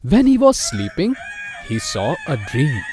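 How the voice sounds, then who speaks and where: A man's voice: a little high, huge pitch swings, a little slow, dark tone, shouting. A man narrating a scripted children's story.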